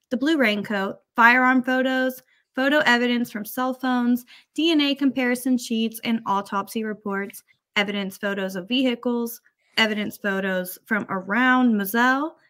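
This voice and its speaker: Higher-voiced woman, casual stream guest. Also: fairly bright tone. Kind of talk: casual chat and reading aloud.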